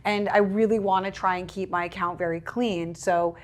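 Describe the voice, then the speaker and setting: A young woman speaks English, her voice sitting at a middle pitch. She chats freely and acts out her own past remarks with a lift in her voice. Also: fairly even intonation, normal speed. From Portugal. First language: Portuguese. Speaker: content creator guest. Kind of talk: casual interview chat.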